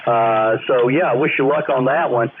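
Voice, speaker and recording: Tinny radio transmission, faint static, man, ham operator, middling pitch, easygoing, rambling, polite. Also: normal speed. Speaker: amateur radio operator calling in. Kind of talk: casual on-air radio conversation. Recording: narrow-band noisy amateur radio link.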